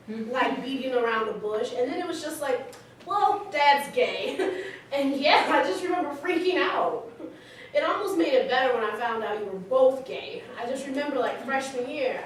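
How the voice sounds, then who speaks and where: A woman playing a candid, informal thirty-something daughter reminiscing conversationally in a staged reading. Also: sits high. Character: candid, teasing thirty-three-year-old suburban daughter. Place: live staged reading in a large room. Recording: echoey, noisy hall from far off.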